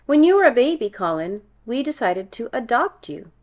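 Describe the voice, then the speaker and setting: A woman voicing a gentle, reassuring mother in a children's storybook, explaining tenderly and patiently. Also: a little high, sing-song, moderate pace.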